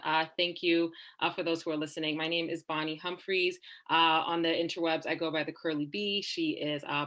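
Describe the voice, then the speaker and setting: Low-voiced woman panelist, quick and bubbly delivery. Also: bright, forceful tone. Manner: bubbly, self-aware. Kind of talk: self-introduction on an online panel.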